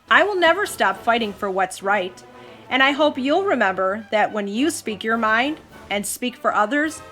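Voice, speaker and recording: A woman's voice: medium pitch, pushed and bright. A woman hosting a children's storytime, reading aloud expressively and chatting with questions for young listeners. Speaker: host, mother reading to her child. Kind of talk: read-aloud children's storytime with casual chat.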